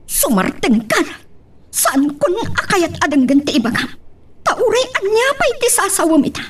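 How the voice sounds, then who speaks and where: A woman's voice: very high-pitched, sing-song, moderate pace, a little hiss or hum. A woman acting a hurt, betrayed wife determined to leave, in an Ilocano-accented radio-style love drama. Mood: hurt, bitter.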